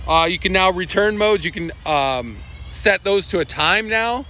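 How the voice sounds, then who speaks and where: A man's voice: high-pitched, expressive, a little slow, pushed and bright, a little hiss or hum. A man hosting a casual live walkthrough of product updates, talking loosely with lots of fillers and restarts. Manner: loose and rambling, with many fillers, restarts and asides. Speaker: livestream host presenting product updates.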